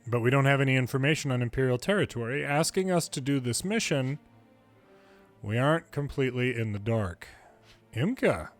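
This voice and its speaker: A man's voice: mid-range voice, expressive, fairly quick, soft background music. A man reading video game story dialogue aloud in English, lightly acting out the different characters. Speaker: fan reader of game dialogue.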